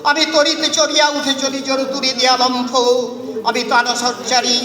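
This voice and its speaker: A man's voice: very high voice, steady tone, moderate pace, bright, forceful tone. A man reciting poetry in a dramatic, declamatory style, with emphatic, incantatory delivery in short phrases. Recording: echoey hall reverb, distant voice.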